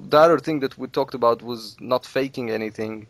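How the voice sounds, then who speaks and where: A man's voice: mid-range voice, brisk, no room sound. A man whose first language is Russian, a Let's Play video maker giving earnest advice in a casual podcast interview. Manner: earnest, advice-giving, peppered with "you know".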